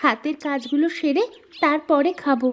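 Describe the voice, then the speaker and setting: Young cartoon daughter, gentle and obedient, voiced by a woman, very high-pitched. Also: moderate pace, dull audio, natural voice. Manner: soft, obedient, pleading. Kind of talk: cartoon voice acting.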